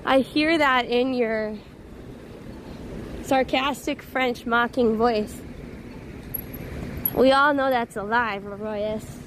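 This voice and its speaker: Woman streamer, young adult, high voice, chatty, reads chat aloud as she walks, slightly strained voice; loud street traffic behind. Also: fairly even intonation, slow pace, long pauses. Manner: chatty, reads and answers viewer comments aloud. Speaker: livestream host. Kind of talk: casual live stream chat while walking. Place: outdoors on a busy city street. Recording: loud outdoor street noise.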